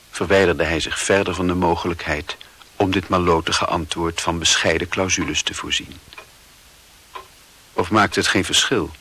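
Deep-voiced grave male narrator. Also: sharp tone, a little hiss or hum, exaggerated voice. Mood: reflective. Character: grave, introspective male narrator of a literary tale. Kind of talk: literary radio play narration.

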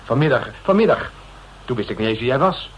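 An actor playing a streetwise, defensive suspect in a Dutch radio drama, talking in a colloquial, sardonic way that turns hesitant when cornered. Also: male voice, medium pitch, lively intonation, fairly quick, a bit bright, muffled recording, natural voice. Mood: defensive, evasive. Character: cynical, evasive male suspect, streetwise and defensive. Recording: noisy old radio drama recording.

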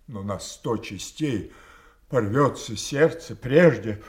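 An older man playing a proud, anguished old king wronged by his daughters, in declamatory classical tragedy style that swings between hushed and thundering. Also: mid-range voice, takes it slowly, close to an everyday voice. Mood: wounded fury. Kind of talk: classical stage tragedy acting.

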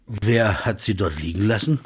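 A man's voice: on the low side, normal speed, breathy edge. An actor playing a jazz pianist who narrates his own story in a dry, understated way and talks with friends. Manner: thoughtful first-person narration, dry and understated. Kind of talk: audio drama narration and dialogue. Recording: music bed underneath.

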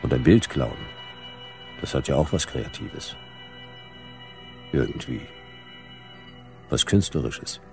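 A man's voice: rumbling low, lively intonation, slow, creaky, pauses often, music in the background, full character voice. A man playing a world-weary middle-aged private detective, narrating a noir radio play in a laconic, dry, clipped way. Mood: wry, laconic.

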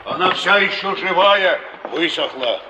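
An actor playing a gruff, practical flophouse dweller, matter-of-fact, in a 1950s Soviet stage play. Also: male voice, sits high, lively intonation, measured pace. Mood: blunt, practical. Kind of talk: naturalistic stage acting, 1950s Soviet theatre. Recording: muffled, noisy, echoey theatre recording.

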